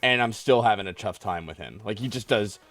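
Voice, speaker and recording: A man's voice: lowish voice, fairly quick, fairly bright tone. Joking male stream host over music. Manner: joking, mock-combative, swears casually. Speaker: host of a live call-in gaming stream. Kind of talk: casual banter with callers about video games.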